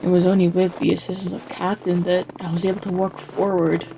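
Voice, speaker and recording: Over background music comes a woman acting a weary, reluctant traveller narrating a bleak comic-dub journey. The voice is on the low side and noticeably creaky, with a tired, awkward understatement. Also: level tone, fairly quick, low-quality recording. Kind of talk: first-person scripted narration, comic dub.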